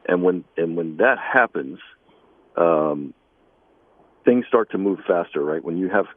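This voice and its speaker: A man speaking as an interviewed basketball coach, conversational and measured, with halting pauses. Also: low voice, expressive, no echo, narrow, tinny audio.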